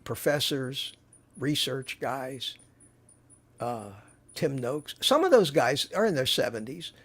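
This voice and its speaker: A man's voice: mid-range voice, takes it slowly, husky. Chatty man in his seventies. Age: 70s. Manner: chatty, animated storyteller with frequent restarts. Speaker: interview guest, YouTuber. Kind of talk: casual interview chat.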